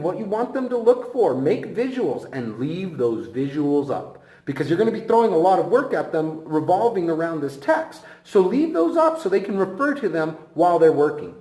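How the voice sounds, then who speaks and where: A man's voice: high-pitched, a little mellow. A man giving an instructional lesson as a teacher, speaking emphatically and encouragingly straight to the viewer. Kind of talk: instructional presentation. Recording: echoey room.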